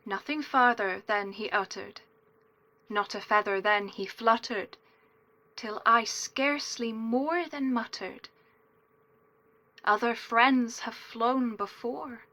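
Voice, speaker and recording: Bright, high-voiced woman reciting slowly. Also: fairly even intonation. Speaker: performer reading a poem. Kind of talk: dramatic poetry recital.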